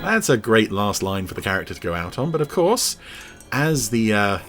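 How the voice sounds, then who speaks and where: A man's voice: fairly low pitch, very animated, normal speed, a bit bright, soft background music. A man giving rambling, enthusiastic podcast commentary over cartoon clips. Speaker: podcast reviewer.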